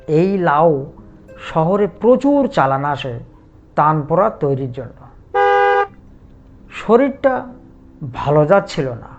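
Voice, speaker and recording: A man narrating a scripted first-person horror story in a measured, dramatic way. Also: on the high side, sing-song, dull audio, faint music behind.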